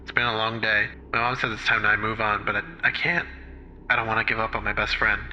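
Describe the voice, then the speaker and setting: An actor playing a wistful young woman grieving a missing dog in a quiet, hesitant horror diary monologue. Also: medium pitch, little pitch movement, fairly quick. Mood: sad, wistful. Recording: lo-fi radio-like clip with faint noise.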